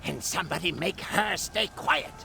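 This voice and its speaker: A man voicing a scheming, taunting goblin villain with a gravelly voice in a video game, drawing out crude threats. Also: fairly high pitch, very noisy. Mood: gloating. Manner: taunting, drawn-out threats in broken grammar. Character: scheming male goblin villain, gleefully menacing, crude grammar. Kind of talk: video game cutscene acting.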